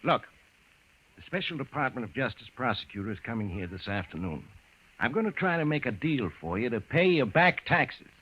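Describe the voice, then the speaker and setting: Shrewd, animated mob lawyer. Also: male voice, mid-range voice, a little fast, husky. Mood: placating, scheming. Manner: emphatic, explaining, placating. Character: shrewd, defensive male defense lawyer to a gangster. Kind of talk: old-time radio crime drama acting. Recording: muffled old radio recording.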